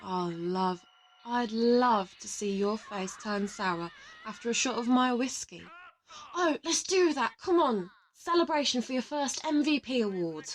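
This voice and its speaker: Female, slightly high voice, acting as a cocky, affectionate interrogator who teases her teammate in an audio roleplay. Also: expressive, unhurried, slight background noise. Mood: teasing. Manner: teasing, affectionate, boastful. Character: teasing, confident woman interrogator in an assassin team. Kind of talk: audio roleplay addressed to the listener.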